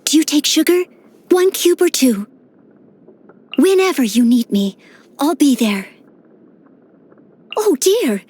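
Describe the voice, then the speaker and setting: Game role: teenage maid knight. High, very animated delivery, courteous, earnest, eager to serve. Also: female voice. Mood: eager, attentive. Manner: polite, formal, earnest, dutiful. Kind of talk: video game character voice lines. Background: background music.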